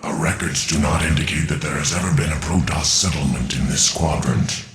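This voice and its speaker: A man's voice: a bass voice, fairly quick, a bit bright. A man playing a dutiful alien officer in a video game, reporting in a formal military manner. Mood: urgent, formal. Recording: echoey, faint music behind.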